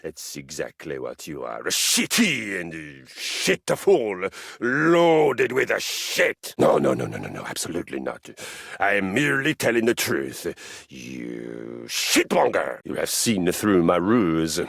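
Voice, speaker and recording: A man playing a brash, battle-loving Viking warrior in a video game, boastful and theatrical, with gravelly voice and pompous archaic phrasing. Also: deep voice, wildly swooping pitch, unhurried, a bit bright, no echo, clean studio sound, exaggerated voice. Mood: scornful. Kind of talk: video game character voice acting.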